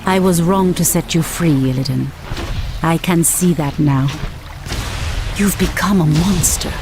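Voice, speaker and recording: A woman's voice: deep voice, measured pace, noisy background. A female actor playing a regal, resolute night elf priestess in a fantasy game, solemn and formal in her speech. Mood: disdainful. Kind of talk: fantasy video game cutscene acting.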